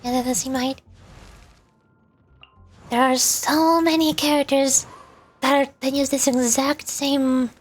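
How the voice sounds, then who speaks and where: A woman livestreaming a game, talking casually with halting, repeated words. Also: high-pitched, fairly even intonation, faint music behind. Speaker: VTuber game streamer. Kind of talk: livestream read-aloud of game dialogue with casual commentary.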